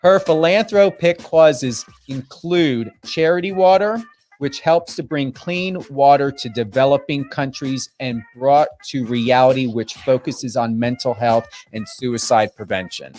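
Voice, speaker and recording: A man's voice: medium pitch, unhurried, a little mellow. A man with a New Jersey accent hosting a radio show, talking in an emphatic, brash, hyped way with repetition and casual swearing. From New Jersey, United States. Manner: emphatic, brash, swears freely, repeats himself. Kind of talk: hyped radio guest introduction.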